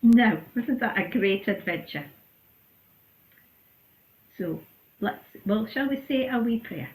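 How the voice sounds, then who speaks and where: Church storyteller, woman; unhurried, animated bedtime reading. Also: a little high. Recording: roomy echo.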